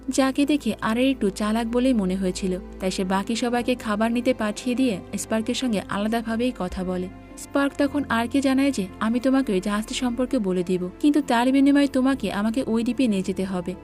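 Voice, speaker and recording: A woman with a fairly high voice delivers scripted recap narration, moving through a drama's story and relaying dialogue as she goes. Music plays underneath her voice. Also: darkish tone. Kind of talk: scripted story recap narration, reading characters' lines in reported speech.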